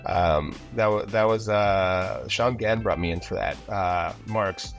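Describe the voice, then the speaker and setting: Male interview guest, voice actor. Low register, loose anecdotal delivery with repeated words, faint music behind. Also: takes it slowly. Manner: animated, rambling with repeated words. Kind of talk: casual interview chat with anecdotes.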